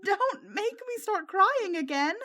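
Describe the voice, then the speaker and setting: Lady doing high soft teen girl, anxious and sweet-hearted, emotional audio story character. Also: animated, slow, full character voice. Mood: pleading. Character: anxious, tender-hearted teenage girl hiding a superhero secret.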